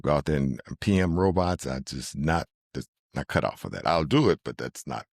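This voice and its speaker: A middle-aged man hosting a podcast, talking in a relaxed, easygoing way with a deep bass voice and easy chuckles. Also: moderate pace, gravelly, no echo, clean studio sound. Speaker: podcast host. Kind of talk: casual interview chat.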